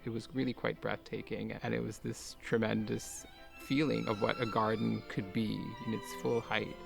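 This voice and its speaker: A man who grew up in Kuwait, a garden owner and artist, talking in a soft-spoken, musing way with light laughs. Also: fairly low pitch, vocal fry. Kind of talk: reflective personal talk for a garden video.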